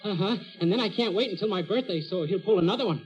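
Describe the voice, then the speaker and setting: Man as a high-voiced, naive, silly young fellow. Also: fast, close to an everyday voice. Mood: earnest, innocent. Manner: innocent, matter-of-fact, eager. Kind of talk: 1940s radio comedy acting. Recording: muffled vintage radio recording.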